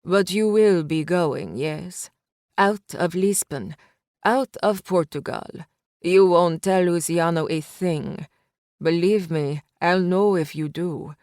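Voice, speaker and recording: A cold, contemptuous crime-boss father from an audiobook thriller, put on by a woman reader. He speaks in a lowered, measured drawl and makes each threat land slowly. The recording is close and dry. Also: darkish tone, dry recording. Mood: threatening. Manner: slow, low, taunting. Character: menacing male gangster father, cold and threatening.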